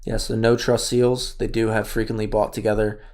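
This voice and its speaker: A man with a raspy voice, losing it, casually walking through an online store tutorial with many fillers. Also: on the low side, little pitch movement, normal speed, darkish tone. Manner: casual, thinks aloud with fillers. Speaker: course instructor. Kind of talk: screen-share tutorial walkthrough.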